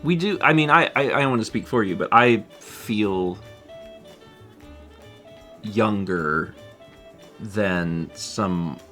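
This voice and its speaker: Lively bright-voiced man in his thirties. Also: fairly low pitch. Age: 30s. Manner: rambling, self-deprecating, laughs often. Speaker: livestream co-host. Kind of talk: casual chat reading viewer comments.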